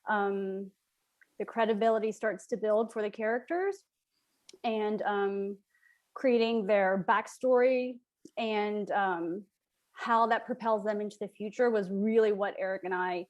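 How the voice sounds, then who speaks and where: A woman playwright giving a casual, reflective interview answer, pausing often as she thinks. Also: mid-range voice, steady tone, darkish tone.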